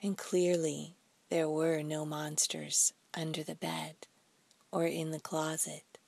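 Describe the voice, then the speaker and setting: A woman leading a guided meditation in a soft, breathy, soothing voice, speaking deliberately in short phrases with pauses. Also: sits low, little pitch movement, no room sound. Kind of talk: slow guided meditation narration.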